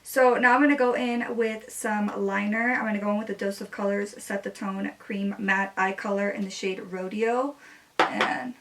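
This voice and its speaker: A woman's voice: mid-range voice, flat delivery, normal speed, fairly bright tone, distant mic. A woman in her twenties, a native Spanish speaker, chatting casually while doing her makeup, with many "like" fillers. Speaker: beauty vlogger.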